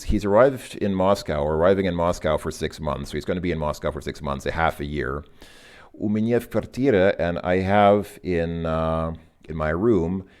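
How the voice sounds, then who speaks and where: A man's voice: low voice, a little mellow. A man teaching a casual lesson, thinking aloud with many fillers and corrections. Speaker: language teacher. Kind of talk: casual recorded lesson explanation.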